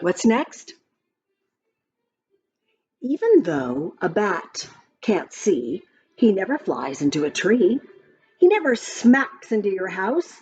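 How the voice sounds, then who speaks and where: A woman reading a children's picture book aloud in a gentle, expressive storytime voice. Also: mid-range voice, slow pace, pauses often, slightly rough, highs cut off, soft background music.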